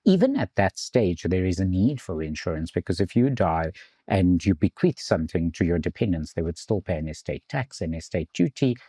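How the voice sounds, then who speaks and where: A man from South Africa, an insurance executive, explaining finance in a measured way in an interview. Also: low-pitched, big pitch swings, dark tone, slightly rough, no echo, clean studio sound. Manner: measured, explanatory, some restarts.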